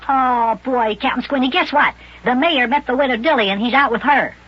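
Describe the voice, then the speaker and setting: Squeaky, excitable little boy. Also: a little fast, slightly rough. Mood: excited. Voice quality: high-pitched, squeaky. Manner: eager, childlike exclaiming. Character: excitable, eager little boy elf, childlike. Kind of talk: old-time children's radio acting. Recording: noisy, muffled old radio broadcast.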